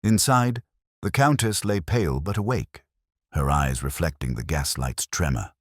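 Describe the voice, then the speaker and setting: A man reading a detective story as narrator, with a measured, literary, dramatic delivery. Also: extremely low pitch, no echo, clean studio sound. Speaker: narrator and audiobook reader.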